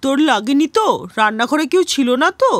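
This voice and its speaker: Woman as a high-voiced fretful grandmother. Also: very animated, a little fast, no echo, studio quality. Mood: worried. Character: elderly grandmother and mother-in-law, worried and scolding.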